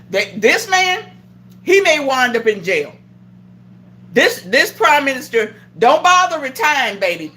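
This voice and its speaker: A woman hosting a livestream chat, her pitch swinging widely as she rants. She swears freely and is openly indignant. She slips into other people's voices to act out the stories she tells. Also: pushed and bright. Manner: profane, indignant, acts out quoted voices. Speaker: livestream host. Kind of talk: heated political chat on a livestream.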